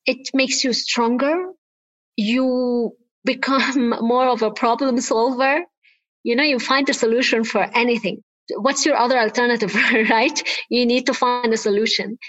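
A woman from Lebanon answers interview questions over a call, earnest and reflective, with a light laugh now and then. Her voice sits a bit high. The audio is dull and lo-fi, with no room sound. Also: fairly bright tone, no room sound. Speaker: guest. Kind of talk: remote interview conversation.

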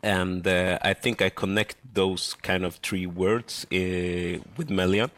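Brand founder from Sweden on a panel: low, even voice, halting, earnest. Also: male voice. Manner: earnest, halting, searching for words. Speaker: model and beauty brand founder. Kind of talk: panel discussion.

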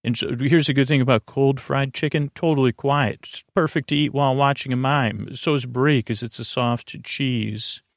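Male podcast host, crackly fry, dry studio sound, meandering bedtime rambling, hesitant stammers, drifting tangents. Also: medium pitch, steady tone, normal speed, few pauses, no room sound.

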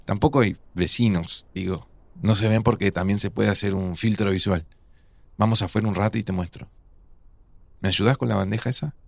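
An actor playing a grieving, resigned man in an audio drama, speaking Rioplatense Spanish in a subdued, hesitant, gravelly way. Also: on the low side, fairly even intonation, moderate pace. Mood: calm, matter-of-fact. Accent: Rioplatense Argentine. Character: grieving, resigned widower in a near-future Argentina. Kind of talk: naturalistic audio drama dialogue.

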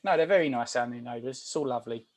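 A man's voice: mid-range voice, fast, darkish tone. A British man co-hosting a podcast, joking and ribbing his friend in casual banter. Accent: British English. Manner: joking, mocking. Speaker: podcast co-host.

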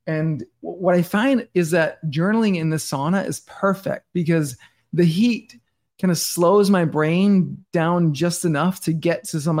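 A man's voice: on the high side, gravelly, darkish tone. A middle-aged man from Denmark, a podcast guest talking casually and intensely, restarting his sentences often. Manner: intense, rambling, many restarts and "like". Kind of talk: casual interview talk.

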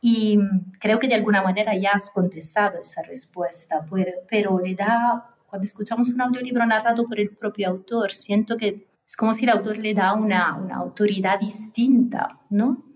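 A woman's voice: mid-range voice, steady tone, normal speed, hardly any pauses, rough recording. Woman host explaining at length. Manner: conversational, explains at length with fillers. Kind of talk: podcast interview.

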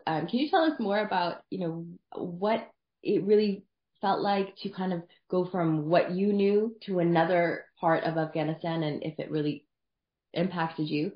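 Lower-voiced woman host, echoey room. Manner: chatty, conversational, some fillers. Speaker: podcast host. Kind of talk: interview questions. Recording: dull sound in an echoey room.

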